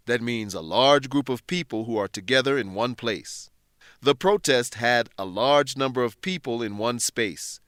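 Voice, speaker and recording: A man presenting an English lesson in scripted narration, explaining things in a measured way with careful enunciation. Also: mid-range voice, fairly bright tone, dry recording, studio quality.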